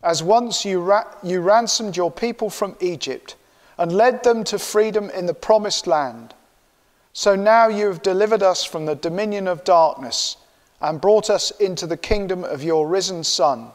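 An older man leading church prayers and a confession in a measured, solemn recitation. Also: high voice. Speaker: minister leading the service. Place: church sanctuary, indoors. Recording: long church reverb.

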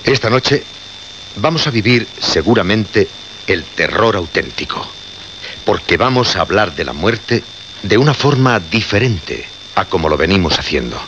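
Deep, solemn, ominous radio host. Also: male voice, very animated, fairly bright tone, exaggerated voice. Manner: deliberate, dramatic pauses and repetitions. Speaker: radio actor. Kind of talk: radio horror drama acting. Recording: muffled, noisy vintage radio recording.

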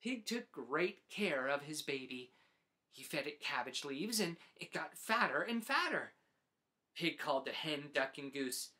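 A man in his forties narrating a children's storybook as host, with deliberate, dramatic phrasing. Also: on the high side, very animated, bright, forceful tone. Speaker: host reading picture books aloud.

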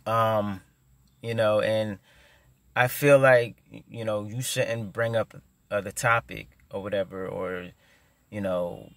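Man in his 30s, stutters, slow deliberate talk, lowish voice, dry close sound in a parked car. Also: fairly even intonation, a bit bright, no echo. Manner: stutters, with repeated words and many fillers. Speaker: vlogger. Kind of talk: casual advice vlog. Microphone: phone camera at arm's length.

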